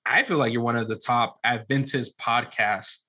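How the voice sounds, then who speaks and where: A man with a clearly bright, forceful voice at an average pitch. He talks casually, as in a podcast conversation, circling toward his point with halting starts and repeated phrases. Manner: halting, rambling, restarts phrases often. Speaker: podcast guest and media producer. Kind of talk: casual conversational interview talk.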